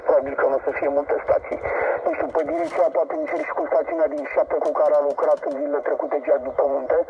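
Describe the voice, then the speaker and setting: A man's voice: on the high side, creaky, distant mic. A man operating a portable radio station, chatty and rambling with many hesitations, heard through radio reception. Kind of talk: radio contact exchange. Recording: noisy tinny radio reception.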